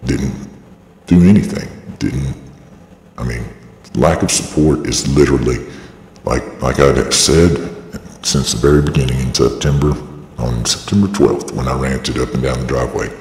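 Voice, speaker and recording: A man's voice, shifted extremely low by a voice changer, with a gravelly creak. He rambles through a personal statement in a halting, aggrieved way, repeating himself. The sound is cavernous, with long reverb. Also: a little mellow. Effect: pitch-lowering voice changer. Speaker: vlogger giving a personal statement.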